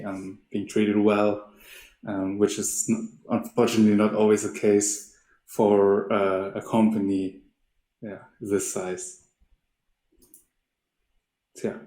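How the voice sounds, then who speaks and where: A man presenting in a webinar as a company representative, speaking in a halting, hesitant way. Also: on the low side, mellow. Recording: roomy, echoey sound.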